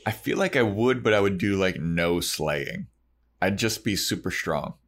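Low-voiced man in casual podcast chat. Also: lively intonation, measured pace. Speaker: podcast host. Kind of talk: casual chat about a TV show.

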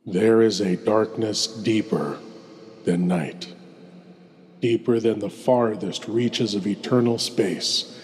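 A man's voice: on the low side, slow, darkish tone. A man playing a sinister, courtly horror host, speaking in a grandiloquent, ominous and theatrical way. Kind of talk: theatrical old-time horror introduction. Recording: long cavernous reverb.

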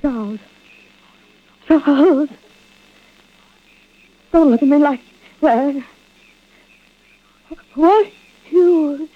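An actress playing a composed, suspicious wronged wife in a vintage radio thriller, with a deliberate, theatrical delivery. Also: female voice, sits high. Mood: frightened, defiant. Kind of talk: 1940s-50s radio drama acting. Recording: dull vintage radio recording.

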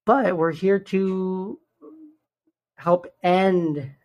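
Earnest raspy young man. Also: sits high. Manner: earnest, stresses numbers slowly, self-interrupting restarts. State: hoarse from shouting the day before. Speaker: podcast host. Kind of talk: solo podcast monologue.

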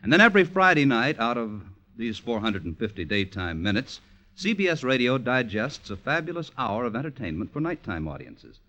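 A man's voice: medium pitch, sing-song, a little fast, sharp tone. An American man reading scripted announcements as a 1950s radio announcer, polished and emphatic.

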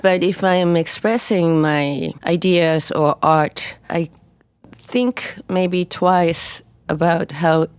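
A middle-aged woman whose first language is Japanese, answering interview questions with careful, deliberate word choice. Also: fairly low pitch, steady tone, smooth, dry recording. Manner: careful, deliberate, picks words slowly. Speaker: musician guest. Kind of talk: interview conversation.

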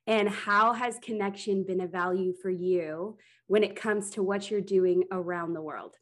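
A woman hosts the podcast and asks her guest loose, friendly questions. Her voice has a middling pitch, and she talks casually with plenty of fillers. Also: fairly even intonation, unhurried. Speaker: podcast host. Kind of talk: casual interview.

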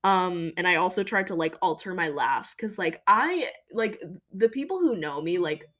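Young woman hosting a podcast, solo. Lower-pitched voice, chatty and emphatic, lots of fillers. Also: lively intonation, moderate pace, fairly bright tone. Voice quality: deeper voice than most women. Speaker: podcast host. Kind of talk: casual personal monologue.

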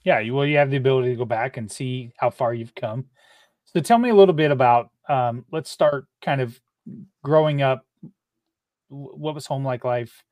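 A male podcast host who interviews his guest in a relaxed, easygoing way and laughs easily. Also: mid-range voice, moderate pace, dry recording. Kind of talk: casual interview.

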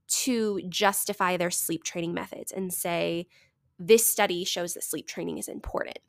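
A woman's voice: medium pitch, dry recording, studio quality. Congested woman hosting a chatty podcast. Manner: chatty, explanatory, with frequent fillers. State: congested from a cold. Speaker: podcast host. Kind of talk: casual solo podcast talk.

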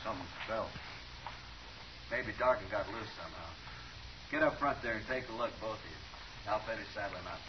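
An American actor playing an impatient, menacing outlaw boss in a 1950s radio Western, barking and suspicious. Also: male voice, on the low side, a little fast, pauses often, roomy sound, close to an everyday voice. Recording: distant, noisy, dull 1950s radio recording.

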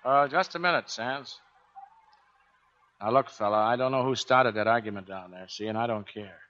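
An actor playing a tough, commanding American Navy chief in a 1940s radio drama, with gruff, slangy straight talk. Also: male voice, mid-range voice, normal speed, bright, forceful tone, lightly acted. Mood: stern. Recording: dull, band-limited 1940s radio recording.

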